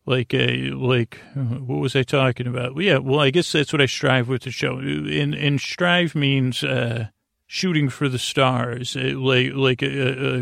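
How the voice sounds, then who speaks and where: A man with a creaky, gravelly voice hosting a bedtime podcast, meandering and halting as he trails off mid-thought. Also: medium pitch, dry recording, clean studio sound. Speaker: podcast host. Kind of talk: rambling bedtime sleep podcast monologue.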